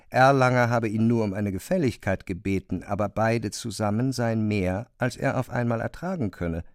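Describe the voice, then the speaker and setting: A man narrating a literary radio drama from a script, in a measured, articulate storytelling manner. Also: medium pitch, dry recording, studio quality.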